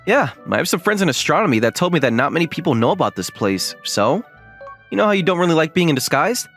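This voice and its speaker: Casting: a man plays a shy young manga-dub lead, lively swings in pitch, earnest and easily flustered, music underneath. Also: fast talker. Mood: considerate. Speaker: voice actor and narrator in a manga dub. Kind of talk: acted dialogue and first-person narration.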